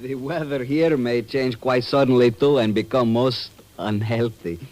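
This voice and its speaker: A mid-pitched voice plays a smooth, formal secretary acted by a man. He speaks in a measured, politely sinister way, in the manner of old radio drama. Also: fairly even intonation, a little mellow, lightly acted. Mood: veiled threat. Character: smooth, veiled-menacing Guatemalan male secretary. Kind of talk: 1940s radio acting.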